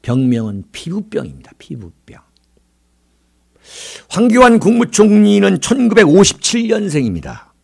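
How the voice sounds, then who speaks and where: Animated, high-pitched man in his sixties. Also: normal speed, gravelly, long pauses, breathy edge. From South Korea. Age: 60s. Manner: oratorical, dramatic emphasis with rhetorical questions. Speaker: political commentary show host. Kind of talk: political commentary monologue.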